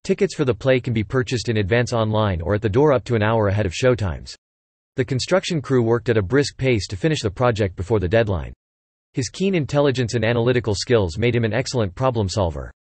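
A man reading English example sentences aloud for listening practice, in an even, clipped delivery. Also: medium pitch, brisk, no echo.

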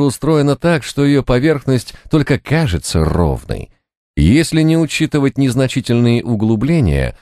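A man narrating a fantasy novel as an audiobook, with expressive storytelling delivery, close to a studio microphone. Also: low-pitched, no room sound.

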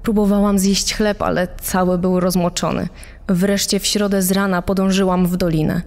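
A woman's voice: mid-range voice, level tone, normal speed, darkish tone, lightly acted. A woman playing a widowed survivor in her thirties, giving composed, matter-of-fact dramatised testimony. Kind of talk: dramatised first-person testimony. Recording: long hall-like reverb.

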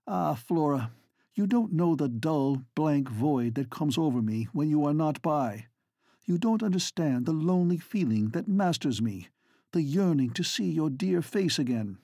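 A man reading the part of a devoted, lovelorn gentleman from an old novel, speaking passionately and reproachfully. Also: on the high side, expressive, a little slow, no echo, studio quality.

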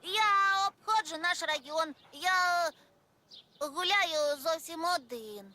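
A performer voicing a curious, cheerful little boy in a children's cartoon dub, with simple, excitable childlike talk. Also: very high-pitched, takes it slowly, slight background noise, full character voice. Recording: music behind the voice.

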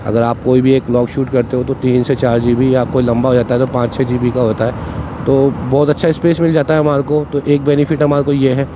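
A man's voice: medium pitch, level tone, brisk. Chatty man talking while riding a motorbike. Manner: chatty, explanatory, repeats "ठीक है". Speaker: moto vlogger. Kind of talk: casual vlog talk while riding. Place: riding a motorcycle on city roads outdoors. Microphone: cheap wired external mic on rider. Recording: traffic noise from riding outdoors.

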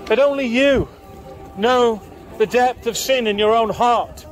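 A man's voice: very high-pitched, a little slow, soft background music. A man preaching in the open air on a busy town market street, firing repeated questions at passers-by. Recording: outdoor street with faint crowd.

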